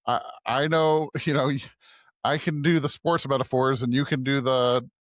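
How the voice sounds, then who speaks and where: A man's voice: mid-range voice, little pitch movement, moderate pace, dry recording. A man co-hosting a podcast in casual conversation, thinking aloud and often checking in with his listener. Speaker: podcast co-host.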